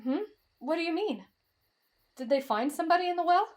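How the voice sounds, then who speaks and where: A woman's voice: very high-pitched, expressive, a little fast. A woman voicing a timid, lovestruck teenage boy in an anime-style roleplay drama, with a dramatic, whiny delivery that swings between fretful and gushing. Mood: curious, startled. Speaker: voice actor in roleplay audio.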